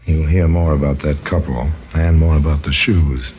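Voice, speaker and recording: An extremely deep-voiced man narrates in old-time radio mystery style. He is wry and deliberate, savoring the grim details, with faint music behind. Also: fairly even intonation, warm tone, highs cut off. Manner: wry, deliberate, macabre relish. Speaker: narrator and host.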